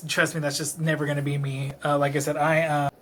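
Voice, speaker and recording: Tipsy late-twenties man vlogging at home, bright voice a touch high, rambling with many ums, faint room noise. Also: little pitch movement, a little fast. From Dallas, Texas. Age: late 20s. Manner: self-deprecating, rambling, many fillers. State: a little tipsy. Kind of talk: rambling casual monologue to camera. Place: his own apartment, indoors. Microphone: camera close-up.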